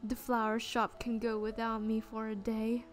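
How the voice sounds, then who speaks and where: A sweet, earnest young florist from a visual novel as a woman player reads her, musing gently in a fairly high voice. The words come slowly, with music clearly behind them.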